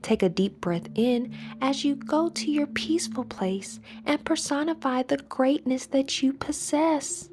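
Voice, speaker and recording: A woman with a fairly high-pitched voice guides a meditation. Her delivery is measured and soothing, with soft, breathy phrases that often taper toward a near-whisper. She gives each instruction gently, as a host leading a practice. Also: slight background noise.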